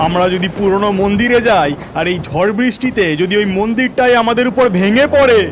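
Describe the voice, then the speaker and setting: A man's voice: high voice, fairly quick, noisy background, lightly acted. A man voicing an anxious, distrustful village man in a cartoon, with an agitated, grumbling manner. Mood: distrustful, accusing. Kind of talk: cartoon voice acting.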